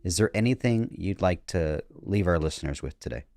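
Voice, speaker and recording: A man's voice: low voice, animated, brisk, vocal fry, darkish tone, no echo. A man co-hosting a podcast, putting questions to a guest in casual conversation. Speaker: podcast co-host. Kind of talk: casual interview chat.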